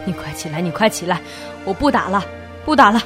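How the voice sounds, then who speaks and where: A woman's voice: mid-range voice, animated, fairly quick, natural voice. An actress playing a stern, domineering middle-aged mother in an audio drama, commanding and emphatic. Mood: stern, relenting. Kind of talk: Mandarin audio drama acting. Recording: loud music behind the voice.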